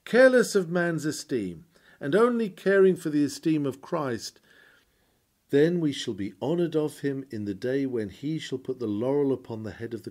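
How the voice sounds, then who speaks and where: A man reading a scripted historical sermon aloud in a measured, solemn and formal oratorical manner. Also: mid-range voice, a little mellow.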